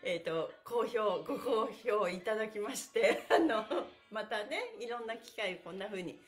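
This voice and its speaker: A woman's voice: mid-range voice, expressive, unhurried, soft background music. A middle-aged woman leading a livestreamed stretching class in a cheerful, chirpy, encouraging manner, chatting to her viewers. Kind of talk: upbeat exercise instruction, chatting to livestream viewers. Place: small indoor room with bare walls and wooden floor. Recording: echoey, roomy sound.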